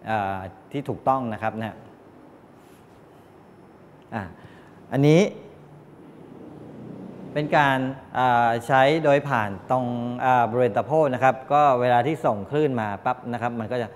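Older male lecturer, mid-pitched voice, halting explanatory delivery, polite particles, reverberant classroom, background rumble. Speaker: technical college lecturer. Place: medium-sized indoor classroom with students. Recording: reverberant room, clear background noise, low rumble.

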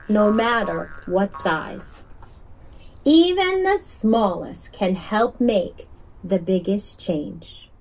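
A woman narrating a children's picture book in a deliberate, expressive storytelling manner. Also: on the high side, a little hiss or hum, rough recording. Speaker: picture-book author reading aloud.

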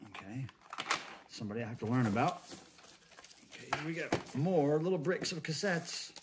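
A man's voice: a little high, animated, moderate pace. A middle-aged American man giving casual, folksy unboxing commentary as a host, thinking aloud as he goes. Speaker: host of a cassette unboxing video. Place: indoors at a tabletop. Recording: clear crinkling and handling noise. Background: plastic wrap crinkling, cases clicking.

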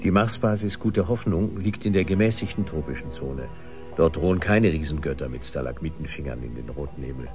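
An actor playing a cool, factual Mars settler who instructs like a guide in a science-fiction radio drama. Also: male voice, extremely low pitch, expressive, brisk, over music. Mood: cool, firm.

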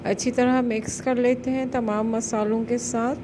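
A woman with a somewhat high voice narrates a home recipe in calm, step-by-step instructions. Her voice-over is recorded close to the mic. A steady noise and the rumble of vehicles stay clearly audible beneath her. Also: moderate pace. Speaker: cooking channel host.

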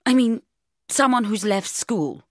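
A woman's voice: fairly high pitch, animated, measured pace, dry recording, studio quality, pitched above the performer's own voice. A woman voicing a posh, awkward teenage schoolboy, hesitant and polite, in a story. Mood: flustered, apologetic.

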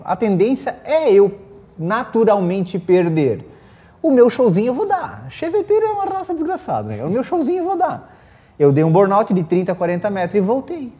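A man's voice: sits high, dark tone. A middle-aged man with a southern Brazilian accent, chatting casually as a racing guest on a panel. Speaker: guest drag racer. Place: small indoor studio set.

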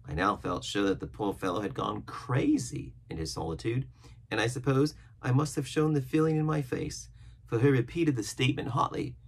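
A man's voice: mid-range voice, normal speed, creaky, breathy edge. A middle-aged man reading an adventure novel aloud with expression. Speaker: storybook reader.

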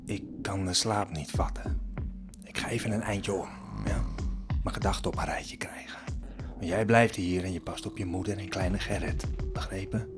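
A man's voice: sits low, normal speed, natural voice. An actor playing a desperate, brooding eighteenth-century peasant father in a Dutch radio drama, muttering secretively. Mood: hushed, evasive. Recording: dramatic music behind the voice.

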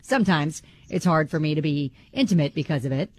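A woman's voice: deep voice, animated, brisk, a little mellow, slight background noise. A woman co-hosting a radio talk show, reading a listener's letter and teasing her co-host dryly, on a studio mic. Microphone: studio microphone.

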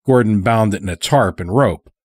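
A man hosting and narrating a horror fiction podcast, reading from a script in a measured, deliberate way. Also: fairly low pitch, slightly rough, no echo, clean studio sound. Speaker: podcast host and story narrator.

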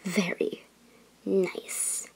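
A woman voicing a scheming, menacing lioness villain in an animated fan dub, speaking in a deliberate, sinister way. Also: whispered, low-quality recording. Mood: gloating.

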